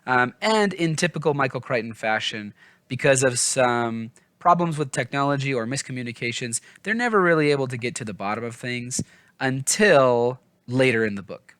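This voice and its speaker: A man's voice: medium pitch, lively intonation, moderate pace, a bit bright, no room sound. A man talking casually as a book vlogger, informal and self-deprecating, often wandering off on side thoughts. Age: adult, father of small children. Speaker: book reviewer and vlog host. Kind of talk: casual, rambling book discussion.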